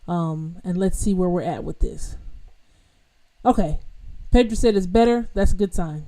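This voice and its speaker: A woman's voice: lowish voice, moderate pace, mellow. A woman hosting a live-streamed piano lesson, chatting casually and directly with viewers, with loose fillers and restarts. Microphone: home streaming mic with adjustable level.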